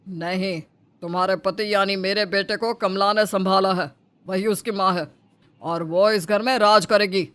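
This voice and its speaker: A man's voice: high voice, fairly even intonation, measured pace, fairly bright tone, smooth. An actor playing a stern, domineering father-in-law in a family story, lecturing and reproachful. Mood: firm.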